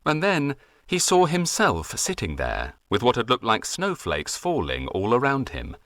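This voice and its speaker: A man reading a storybook aloud as audiobook narrator, in an expressive way. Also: mid-range voice, a little slow, no echo, studio quality.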